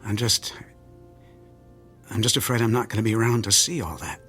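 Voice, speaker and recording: A man's voice: lowish voice, normal speed, a bit bright, soft background music, close to an everyday voice. An actor playing an anxious older male patient in a radio drama. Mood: anxious, wistful. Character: worried older male patient nearing retirement.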